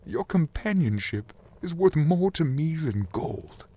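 A man as jolly old Santa, hearty and animated. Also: on the high side, measured pace, husky, full character voice. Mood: tender.

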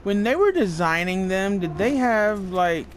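A man's voice: sits high, lively intonation, a little slow, a little hiss or hum. A man hosting a gaming video with casual playthrough commentary, sometimes reading text aloud.

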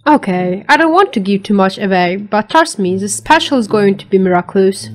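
A woman voicing a calm, smug grown man in a cartoon comic dub. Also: medium pitch, a little fast, soft background music, natural voice.

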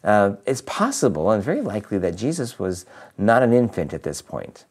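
Nasal-voiced priest, chatty and upbeat. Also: male voice, fairly low pitch, sing-song, moderate pace, darkish tone, husky. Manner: chatty and upbeat, with fillers and restarts. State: nasal from a cold. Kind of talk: weekly video reflection. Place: living room with a Christmas tree, indoors.

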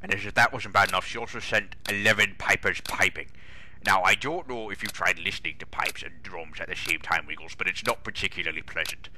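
A man's voice: low voice, brisk, sharp tone, exaggerated voice. A man voicing a pompous, exasperated older railway boss with a British accent, grumbling indignantly in a comic dramatic reading. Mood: irritated.

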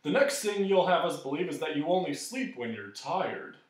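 A man's voice: a little high, big pitch swings, moderate pace, recorded from a distance. Man playing an insistent, emphatic storybook magician. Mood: casual. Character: insistent, impatient male mathematician-magician, a blithely logical host. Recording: echoey room.

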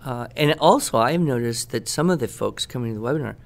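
A middle-aged man with an American accent talks as a podcast expert. His voice sits at an ordinary male pitch. He speaks conversationally, with enthusiasm and emphasis, like a teacher promoting a useful tool. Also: expressive, fast talker, gravelly. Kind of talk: conversational product talk on a podcast.

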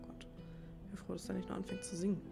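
A woman's voice: medium pitch, level tone, fast talker, creaky. A woman giving casual gameplay commentary, rambling and thinking aloud as she plays. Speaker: let's player. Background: game music.

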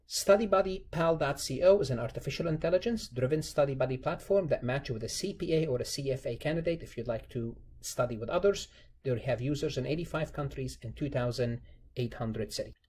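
Male instructor, husky and dark-toned, emphatic explainer who repeats key points. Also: mid-range voice. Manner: emphatic, repeats key phrases, asks and answers own questions. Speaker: accounting lecturer. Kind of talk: instructional lecture, explaining a worked example.

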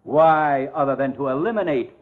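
An actor playing a forceful, scornful prosecutor addressing a jury with emphatic oratory in a 1940s radio drama. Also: male voice, mid-range voice, moderate pace, slight background noise, close to an everyday voice. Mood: accusing. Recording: narrow, tinny old radio recording.

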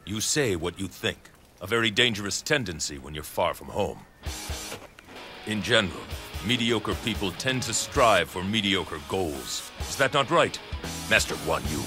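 An actor playing a shrewd, proud middle-aged warlord in a video game, speaking in a suave, deliberate, formal way. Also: male voice, low voice, animated, over music. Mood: smooth, probing. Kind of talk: video game cutscene acting.

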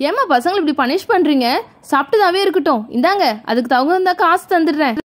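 Woman as a high-voiced protective mother. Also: lively intonation, brisk. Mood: indignant concern. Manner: indignant, caring. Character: protective mother standing up for children. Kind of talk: children's toy play story.

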